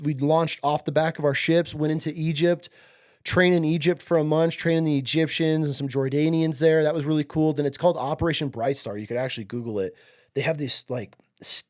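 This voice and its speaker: Male guest, late thirties, from upstate New York; relaxed, profane storyteller whose voice sits slightly high. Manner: laid-back, profane, says "dude" and "like" a lot. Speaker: podcast guest, Marine veteran. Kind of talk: casual interview storytelling.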